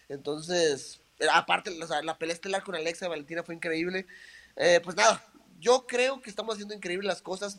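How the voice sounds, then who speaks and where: A Mexican man with a Mexican accent and a fairly high voice, chatting easily as an interview guest. He talks casually and tells his stories loosely, leaning on small fillers as he goes. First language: Spanish. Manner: relaxed and chatty, with many "o sea" and "eh" fillers. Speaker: interview guest, professional fighter.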